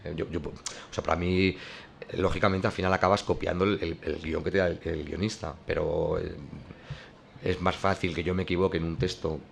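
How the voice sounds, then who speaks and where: A man's voice: low voice, level tone, normal speed, gravelly, a little hiss or hum. A man who works as a comic artist, explaining his drawing process in a hesitant way, with many restarts and fillers. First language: not French.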